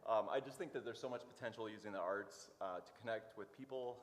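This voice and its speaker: A man being interviewed as a guest, speaking informally in a halting, hesitant way with many pauses. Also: lowish voice. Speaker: interview guest, church worker. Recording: echoey hall, noticeable background noise.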